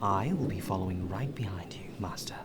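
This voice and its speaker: A man's voice: low-pitched, brisk, close to an everyday voice. A man playing a polite, deferential butler in an ASMR roleplay, with formal, flowery phrasing. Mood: attentive, deferential. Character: courteous, well-spoken male butler, deferential and appreciative. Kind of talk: ASMR roleplay acting, addressed to the listener. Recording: rain ambience and clear background noise.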